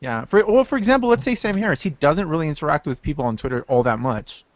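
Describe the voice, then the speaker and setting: A man's voice: on the high side, animated, quick pace, no echo. A man in his thirties in a casual group chat, talking hesitantly with many restarts. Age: 30s. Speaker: podcast guest. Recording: dry, dull lo-fi sound.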